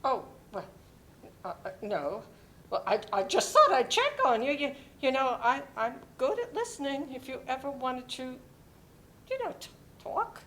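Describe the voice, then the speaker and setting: A woman playing a warm, fussy, motherly older neighbour in a dramatic play reading, doting and fretful as she chats. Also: high voice, sing-song, unhurried, exaggerated voice. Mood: awkwardly caring.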